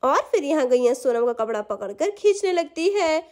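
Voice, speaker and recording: A woman's voice: high voice, very animated, normal speed, darkish tone, slight background noise. A woman narrating a scripted children's story as the storyteller.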